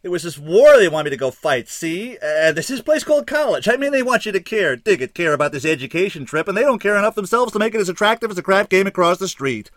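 Man acting a bitter young junkie, high and strained. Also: wildly swooping pitch, takes it slowly, long pauses, a little mellow, no room sound, exaggerated voice. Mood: exploding, desperate. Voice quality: raised, strained voice. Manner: ranting, pleading, slangy. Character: skinny young ex-junkie, bitter, truculent, desperate.

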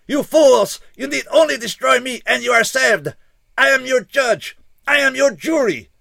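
Anguished formal alien giant, high and gravelly. Dry sound. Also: male voice, very animated, measured pace, sharp tone, no room sound, exaggerated voice. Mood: desperate. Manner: stiff formal diction, rising to shrieks.

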